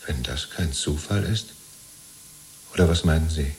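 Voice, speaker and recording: An actor in a 1970s German radio play, playing a chatty, servile jailed party loyalist who rambles and justifies himself. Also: male voice, very deep voice, measured pace, a little hiss or hum. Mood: nervous, probing. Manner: rambling, ingratiating, chummy, seeking reassurance.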